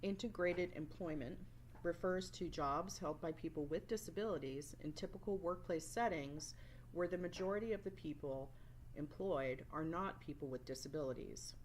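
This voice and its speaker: A woman's voice: lowish voice, moderate pace, a little hiss or hum, low-quality recording. A woman teacher giving a scripted, explanatory training presentation as a voice-over. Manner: instructional, explanatory. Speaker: work-based learning resource teacher.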